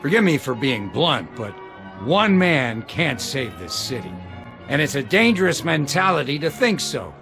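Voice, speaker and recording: A man's voice: mid-range voice, sing-song, a little slow, over music. An actor playing a cranky, blunt older American man in a video game. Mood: gruff, disapproving. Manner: blunt, grumbling. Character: cranky blunt older male city donor. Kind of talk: video game character acting.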